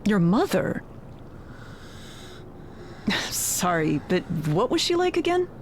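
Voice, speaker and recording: A woman's voice: medium pitch, very animated, slow, slight background noise. A woman voicing a friendly, forgetful villager in chatty, effusive video game dialogue. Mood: puzzled.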